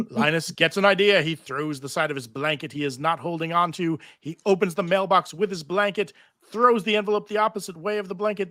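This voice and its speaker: A man's voice: high-pitched, fairly bright tone, no echo, studio quality. A man reading stage directions as narrator at a live scripted table read.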